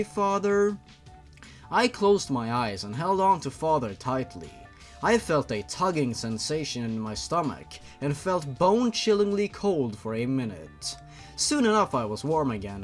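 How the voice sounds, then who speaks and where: A man reading a fan fiction story aloud as a show host, in a theatrical, dramatic way with drawn-out emphasis. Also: a little high. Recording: music behind the voice. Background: music.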